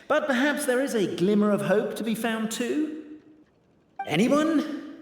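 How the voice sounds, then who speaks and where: An actor playing a grandiose, melodramatic and playful storyteller narrating a video game. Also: male voice, very high voice, lively intonation, a little slow, a little hiss or hum. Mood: dramatic. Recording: long reverb on voice.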